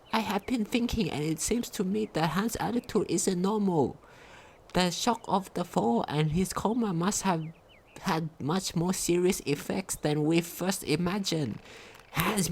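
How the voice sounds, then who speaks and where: A woman's voice: a little high, a little hiss or hum. A woman reading a game diary aloud as a video commentator, sometimes stumbling over her words.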